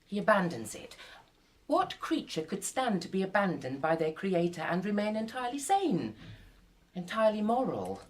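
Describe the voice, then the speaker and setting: Intense, husky young writer. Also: female voice, mid-range voice, sing-song, normal speed, a little mellow. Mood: grave, intense. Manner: intense, dramatic storytelling. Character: Mary, intense, weary young woman writer. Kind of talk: live radio drama acting.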